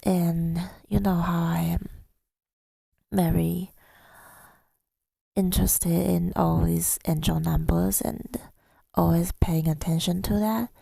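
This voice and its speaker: A woman speaking softly and intimately in a husky, breathy ASMR style, hesitant and affectionate, often slipping into a whisper, close to the microphone. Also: on the low side, steady tone, takes it slowly, pauses often, dry recording. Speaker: ASMR audio creator. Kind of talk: intimate ASMR girlfriend roleplay, telling about the day. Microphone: close microphone, dry sound.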